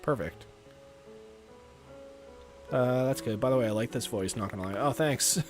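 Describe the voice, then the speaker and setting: A man's voice: moderate pace, soft background music. A young man with a North American accent streaming a game and chatting casually, rambling with lots of "like" and easy laughs. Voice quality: low natural voice, chill voiceover tone. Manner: rambling, many "like" fillers, laughs often. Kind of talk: casual livestream chat.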